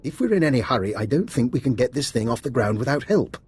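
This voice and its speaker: A man acting a polite, hesitant doctor in a video game, with a courteous, halting way of talking. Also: mid-range voice, very animated, darkish tone, slightly rough. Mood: apologetic, polite. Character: polite, hesitant, slippery male scientist doctor.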